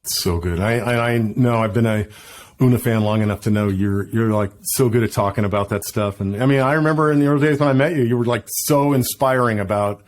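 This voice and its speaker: A middle-aged man talks fast and eagerly in a relaxed interview, often restarting his sentences as ideas tumble out. His voice sits at an ordinary pitch. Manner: fast, enthusiastic, many restarts. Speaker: podcast guest. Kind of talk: casual interview chat.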